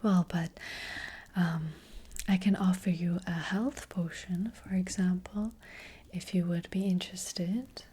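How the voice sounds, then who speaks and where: A woman playing a gentle fortune-telling witch in a whispered, breathy ASMR roleplay, speaking hesitantly and reassuringly close to the microphone. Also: lowish voice, steady tone, heavily acted. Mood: gentle, cautioning. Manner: hesitant, unhurried, softly reassuring. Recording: faint noise, soft clicks.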